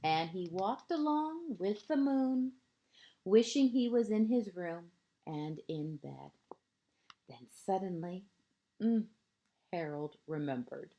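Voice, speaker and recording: A woman reading a picture book aloud to young children like a kindergarten teacher, gently and expressively, pausing between short phrases and often letting endings fall to a hush. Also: mid-range voice, animated, lo-fi sound. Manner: deliberate pauses, short phrases, asides to young listeners.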